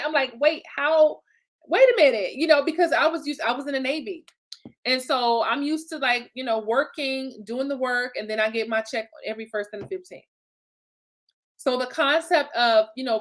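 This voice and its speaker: A woman in her thirties, raised in Illinois, speaks with a Chicago accent touched by a little Southern twang, her voice sitting a bit on the high side. She coaches in a chatty, upbeat way, laughing often and checking in with her listeners with "right?" and "okay?". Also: fairly bright tone. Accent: Chicago with a slight Southern twang. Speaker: business coach. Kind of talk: motivational solo talk.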